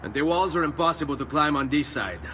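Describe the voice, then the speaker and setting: Bright-voiced young Italian hero. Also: male voice, on the high side, expressive, quick pace, a little hiss or hum. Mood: confident, urgent. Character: confident, daring young Italian assassin hero. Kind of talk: video game cutscene acting.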